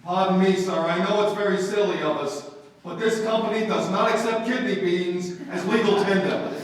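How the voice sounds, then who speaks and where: An actor playing a brisk, sarcastic, officious bus driver in old-time radio comedy. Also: male voice, high voice, fairly even intonation, lightly acted. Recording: distant, echoey live stage, background noise.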